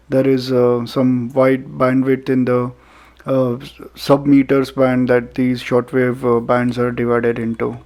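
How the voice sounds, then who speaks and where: Indian male reviewer, mellow and unhurried, hesitant delivery with lots of pauses, for a casual unboxing video. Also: medium pitch. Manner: halting, hesitant delivery with frequent filler pauses. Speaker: product reviewer.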